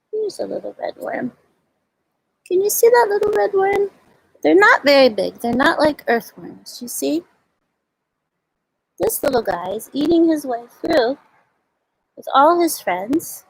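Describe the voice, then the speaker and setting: A woman hosting a storytime for young children, explaining and reading aloud in simple words with little questions, in a slightly husky voice. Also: sits high, a little mellow, poor audio. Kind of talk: show-and-tell and read-aloud for young children.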